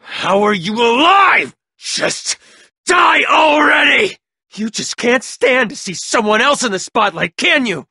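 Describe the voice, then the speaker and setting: A sneering young rival gang leader. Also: male voice, extremely high pitch, lively intonation, slow, vocal fry, pushed and bright, breathy edge, no room sound, studio quality, heavily acted. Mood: furious. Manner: sneering, taunting, mocking laughs. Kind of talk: video game voice acting.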